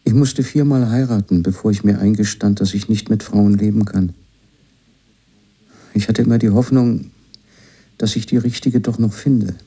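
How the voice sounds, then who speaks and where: Dark, husky resigned middle-aged man. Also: on the low side, steady tone, moderate pace, long pauses. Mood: resigned, reflective. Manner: sober, confessional. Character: reflective, resigned man confessing, four times married. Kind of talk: radio drama monologue.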